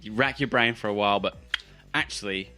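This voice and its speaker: A man acts as game master for a tabletop roleplaying game. His voice is low and crisp-edged with a bright tone, and he talks casually as he improvises. Faint music plays behind him. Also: a little fast.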